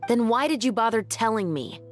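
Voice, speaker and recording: A woman's voice: a little high, lively intonation, brisk, faint music behind, natural voice. A woman playing a stern, dutiful police captain in a video game, firm and curt. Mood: probing.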